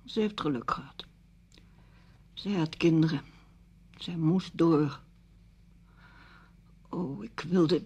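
An actress playing a proud, grieving middle-aged widow in a radio play, sardonic, weary and defensive. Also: female voice, low-pitched, slow, pauses often, a little mellow, breathy edge, heavily acted. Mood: wistful sorrow. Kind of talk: Dutch radio play acting.

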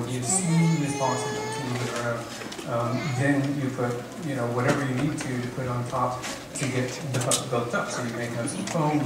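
A middle-aged man on a theater stage explains things in a relaxed, meandering way. The sound is distant and ringing with hall echo, over steady background noise. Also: mid-range voice. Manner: casual, explanatory, with many fillers. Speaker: animation supervisor. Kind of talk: explaining on a panel. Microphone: lapel mic. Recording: echoey hall heard from far.

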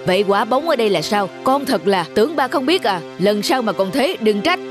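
An actor playing a stern, principled middle-aged businessman father in an animated drama, with a firm, lecturing manner. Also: sits high, fairly quick, music in the background.

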